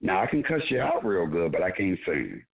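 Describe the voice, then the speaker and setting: A man with a deep voice, a comedian guest joking and teasing in a radio chat. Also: huge pitch swings, brisk, vocal fry, a crowd in the background. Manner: playful, teasing, laughs often. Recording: tinny phone line.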